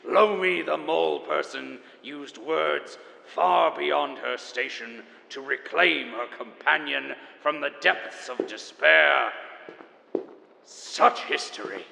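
Gravelly, pompous doomsday chronicler in echo. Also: male voice, a little high, takes it slowly, bright, forceful tone, slight background noise, heavily acted. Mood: wistful, grandiose. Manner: pompous, melodramatic, savoring each word. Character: grandiose, theatrical male corporate chronicler enduring after the apocalypse. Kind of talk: dramatic audio drama monologue.

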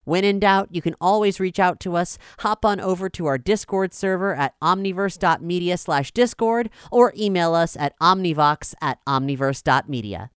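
Female podcast host, smooth and slightly low, scripted update read with measured polish, dry studio sound. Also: no echo.

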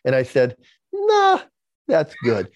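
A man's voice: medium pitch, huge pitch swings, takes it slowly, a little mellow, no echo, highs cut off. A man in his sixties with a lisp, candidly telling his life story as an interview guest, often repeating words as he starts a sentence. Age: 60s. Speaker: podcast guest.

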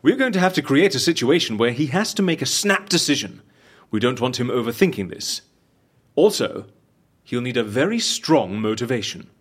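An actor in an audio drama playing an inquisitive, excitable agent of a shady secret agency, with a husky voice. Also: male voice, mid-range voice, normal speed. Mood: scheming. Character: curious, probing male agent for secret death-faking agency.

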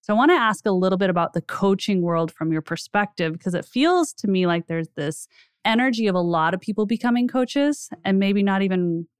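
Chatty woman host, clear voice. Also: mid-range voice, no room sound, studio quality. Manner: chatty, curious, laughs easily. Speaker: podcast host. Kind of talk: casual interview chat.

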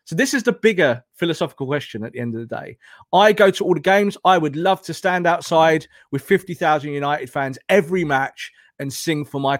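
A man's voice: on the high side, dry recording, studio quality. A man talking as a football pundit in an impassioned, opinionated discussion, emphatic and full of rhetorical questions and repetition.

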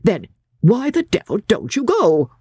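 A man voicing a languid, peevish gentleman invalid in an audiobook, with a fussy, affectedly fragile, sarcastic drawl. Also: high-pitched, wildly swooping pitch, measured pace, slightly rough, dry recording, studio quality, voice pushed up, exaggerated voice. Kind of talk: dramatised audiobook character voice.

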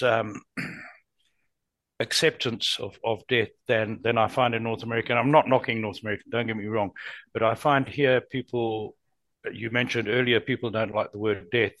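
A middle-aged man with a southern African accent co-hosts a relaxed interview. He talks in a measured, reflective way, and the recording is dry and close. His pitch is typical for a man. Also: dry recording. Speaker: podcast co-host. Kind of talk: conversational interview.

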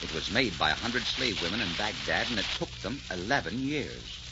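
Imperious, sweeping-voiced desert sheikh. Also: male voice, low-pitched, very animated, brisk. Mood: smug, seductive. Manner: suave, theatrical, commanding. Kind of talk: 1940s radio drama acting. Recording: noisy, muffled 1944 radio recording.

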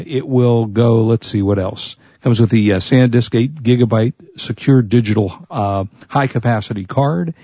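A man co-hosts a relaxed tech talk show with a husky voice that is starting to give out. His tone is mellow and dark. The recording is dry and a little dull. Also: medium pitch, no room sound. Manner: chatty, offers product rundowns and opinions. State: losing his voice. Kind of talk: casual tech chat.

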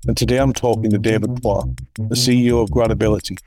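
A man's voice: on the low side, level tone, fast, crowd noise. A man hosting a podcast, reading a scripted intro and then asking easygoing questions. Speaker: podcast host.

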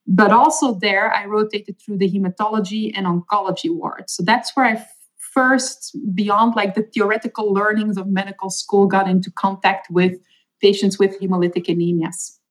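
A woman's voice: medium pitch, poor audio. Flemish-accented woman telling her story. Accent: Flemish Belgian. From Belgium. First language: Dutch. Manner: earnest, reflective storytelling with fillers. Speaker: chief medical officer, guest. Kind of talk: podcast interview.

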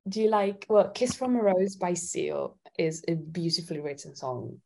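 Woman from Italy, interview guest. Slightly low, gravelly fry, thoughtful and hesitant, casual chat. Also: animated, moderate pace, dark tone. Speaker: singer-songwriter guest.

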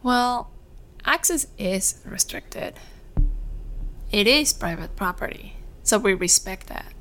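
An actress playing a gentle, guarded woman of a farm in a naturalistic audio drama. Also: medium pitch, expressive, slow pace, slight background noise. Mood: guarded, uneasy.

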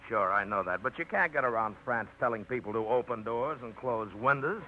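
An actor in a 1950s radio play, playing a blunt, worldly man who talks in rough colloquial phrases. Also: mid-range voice, lively intonation, fairly quick, natural voice. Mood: blunt instructing. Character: worldly, rough-edged man teaching travel French. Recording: muffled, noisy 1950s radio recording.

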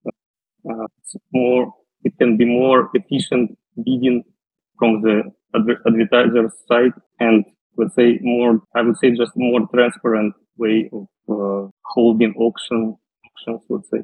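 A man with a Russian accent giving halting, hesitant answers as an interview guest. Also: mid-range voice, flat delivery, breathy edge. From Russia, western Siberia. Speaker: podcast guest, data scientist. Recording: muffled phone-like line, roomy echo.

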